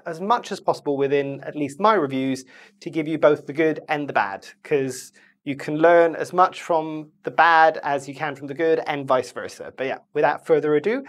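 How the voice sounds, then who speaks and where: A plant vlogger with a blocked, stuffy nose, rambling casually and correcting themselves as they go. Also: medium pitch. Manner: rambling, self-correcting, friendly asides. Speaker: houseplant video host. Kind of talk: casual chatty plant review.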